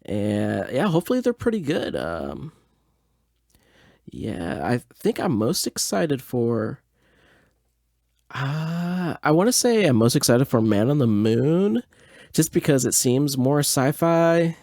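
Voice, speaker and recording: A man chatting as a podcast host, informal and joking. His mid-pitched voice moves around in a lively way, recorded close and very dry. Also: slow pace, no room sound. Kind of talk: casual chat, reacting to old radio plays.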